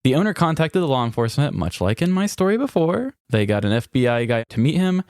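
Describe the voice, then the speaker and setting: A man's voice: mid-range voice, sing-song, quick pace, a little mellow, smooth, dry recording, clean studio sound. A man co-hosting a podcast, chatting casually and reading news articles aloud in an informal storytelling way. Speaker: podcast co-host.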